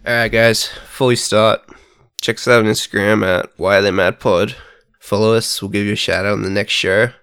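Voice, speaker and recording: A young Australian man with a clean, smooth voice, recorded close and dry. He banters casually as a podcast co-host, explaining things bluntly and swearing freely. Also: fairly low pitch, fairly even intonation, normal speed, fairly bright tone, no echo. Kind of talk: casual banter between friends.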